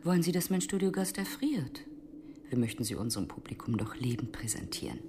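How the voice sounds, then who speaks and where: An actress playing a haughty, sarcastic television host in a radio crime drama, arch in manner. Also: female voice, extremely low pitch, big pitch swings, a little fast, background noise. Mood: annoyed, sarcastic. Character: haughty, sarcastic female TV host in festive dress.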